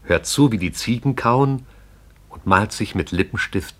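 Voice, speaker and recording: A man narrates a radio play in a measured, poetic way. His voice sits fairly low. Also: expressive, a bit bright.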